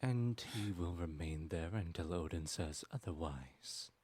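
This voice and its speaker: A man voicing a sly male trickster god in an ASMR roleplay, speaking calmly. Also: deep voice, measured pace, breathy edge.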